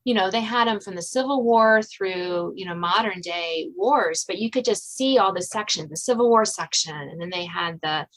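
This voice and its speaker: A woman's voice: on the low side, moderate pace, few pauses, a bit bright, rough recording. A woman from Virginia with an American accent, hosting a casual, chatty interview in a self-deprecating way, often trailing off into asides. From Virginia, United States. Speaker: podcast host interviewing an author.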